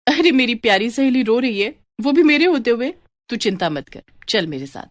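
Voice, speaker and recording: A woman's voice: a little high, very animated, normal speed, dry recording, pitched above the performer's own voice. A woman voicing a cheerful, confident young college girl in a story, with a breezy, reassuring manner.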